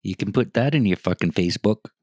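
An actor playing a stubborn, curt small-town farmer in a deadpan comedy script reading. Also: male voice, fairly low pitch, fast, dry recording, clean studio sound, natural voice. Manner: deadpan, curt, rural slang. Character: blunt, stubborn small-town farm tough guy. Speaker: actor in a script table read.

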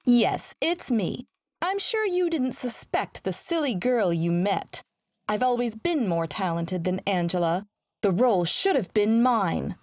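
A woman's voice: mid-range voice, expressive, unhurried, no room sound, natural voice. A scheming young actress played by a woman boasts with haughty, theatrical flair. She is a jealous video game villainess, recorded very dry and close. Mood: smug, bitter. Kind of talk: dramatic video game voice acting.

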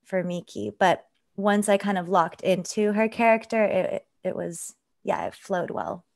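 Actress who grew up in japan, chatty, says like a lot, dry close sound. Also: female voice, medium pitch, animated, normal speed, no room sound. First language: English. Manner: chatty, lots of "like". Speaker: actor. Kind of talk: video call interview. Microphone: video call.

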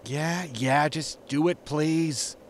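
A man's voice: on the high side, slow pace, a little hiss or hum. A man playing an exasperated, sarcastic spaceship captain in a sci-fi sitcom audio drama, with a weary, dry, scolding manner.

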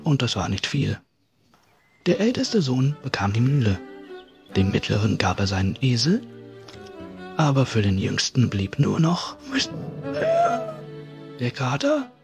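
Animated male storyteller. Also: mid-range voice, a little slow, gravelly, long pauses. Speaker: podcast narrator. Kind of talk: scripted fairy-tale narration. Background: music.

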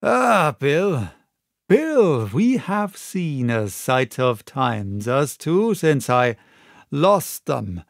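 A sly pirate whose talk sways between fawning and sneering, his wheedling lines climbing higher and louder than a plain voice, as a male reader puts him on. He is a middle-aged sailor with a maimed hand, forever playing the old friend. The read is close and dry. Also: very animated, extremely slow, gravelly, no echo, heavily acted.

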